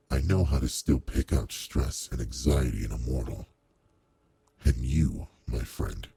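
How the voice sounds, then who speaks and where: A man voicing a commanding, old-fashioned demon lord in a roleplay audio drama, speaking formally and with measured authority to one servant, with quiet care underneath. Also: heavily acted. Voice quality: very deep, gravelly, husky.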